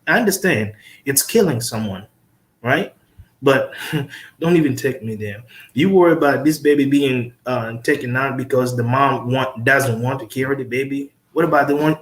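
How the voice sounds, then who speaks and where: A man from the Congo, a guest lawyer, talking in a casual panel discussion with many pauses and fillers. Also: medium pitch. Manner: hesitant, many fillers, emphatic asides. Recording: roomy echo.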